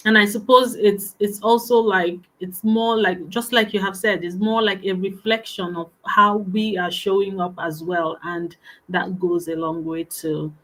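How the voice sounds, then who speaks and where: A woman hosting, soft-spoken and agreeable, reading out audience questions in a casual chat. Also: medium pitch, steady tone, unhurried, poor audio. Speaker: interview host.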